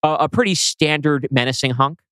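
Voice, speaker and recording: A man's voice: on the high side, a little fast, pushed and bright, clear, resonant tone, no echo. A man co-hosting a podcast, casually explaining his own writing, posing rhetorical questions and swearing offhandedly. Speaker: podcast co-host and scriptwriter.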